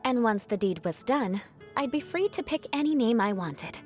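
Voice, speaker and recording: Woman acting as haughty young lady knight, video game voice lines, animated intonation, formal dramatic diction, vengeful aristocrat, background music. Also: a little high, normal speed, close to an everyday voice. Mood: proud, defiant. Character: proud, haughty young noblewoman knight, outcast, vowing revenge.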